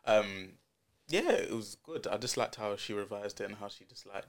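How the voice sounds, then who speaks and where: Gravelly man chatting on a podcast. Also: lowish voice, animated, moderate pace. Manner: hesitant, rambling, many fillers. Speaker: podcast guest. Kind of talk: casual chat.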